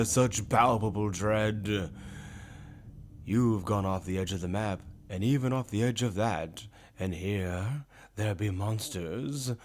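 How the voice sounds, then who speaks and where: A man voicing a regal, malicious demon villain in a dark fantasy audio drama, smug and savouring each phrase. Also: on the low side. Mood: gloating menace. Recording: loud music under the voice.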